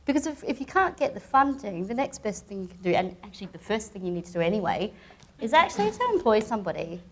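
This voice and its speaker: A woman's voice: mid-range voice, very animated, fast talker. A woman on a discussion panel making her point emphatically with rhetorical questions.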